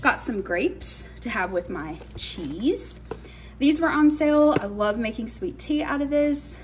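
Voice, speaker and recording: A woman's voice: fairly high pitch, animated, measured pace, soft background music. A young adult woman, chatting casually through a grocery haul in her home kitchen, with lots of fillers. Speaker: cooking channel host.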